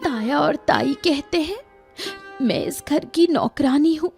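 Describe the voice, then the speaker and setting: Woman acting tearful servant girl, very high voice. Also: slow pace, darkish tone, faint music behind. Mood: tearful, pleading. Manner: plaintive, meek, tearful. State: crying. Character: sorrowful, mistreated young servant girl longing for her mother. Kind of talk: Hindi cartoon moral-story acting.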